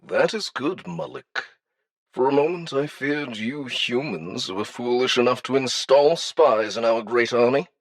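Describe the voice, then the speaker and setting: An imperious alien dignitary, voiced by a man in a sci-fi audiobook. The husky, creaking voice is formal, measured and condescending, with suspicion in it. The sound is close and dry. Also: medium pitch, dry recording, pitched above the performer's own voice. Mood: cool, condescending. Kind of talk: audiobook character acting.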